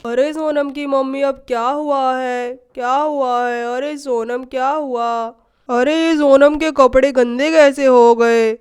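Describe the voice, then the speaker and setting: A lazy dad voiced by a woman with a very high voice in a children's cartoon story. He complains and makes sheepish excuses. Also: fairly even intonation, slow pace, a little mellow, smooth. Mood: surprised, sheepish.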